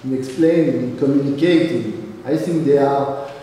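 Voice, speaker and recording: A man whose first language is French, speaking haltingly with many restarts as a panelist at a seminar. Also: mid-range voice, warm tone, far from the mic, slight background noise. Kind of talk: discussion answer. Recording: echoey hall, recorded from afar.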